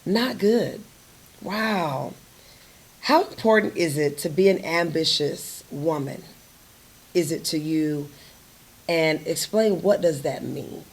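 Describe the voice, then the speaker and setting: A woman hosting an interview in a fairly low voice. She is lively and affirming, cheering her guest on and addressing her listeners directly. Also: slow pace, long pauses, slightly rough. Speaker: host.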